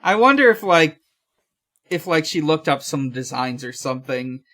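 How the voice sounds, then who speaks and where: A podcast host recapping a comic in casual, low-key talk with halting delivery. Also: lively intonation, fairly bright tone.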